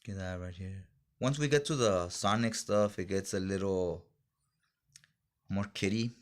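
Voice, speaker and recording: A man's voice: low-pitched, unhurried. A man chatting casually in slangy, informal fan talk as he reviews things for a vlog. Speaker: comic book haul reviewer.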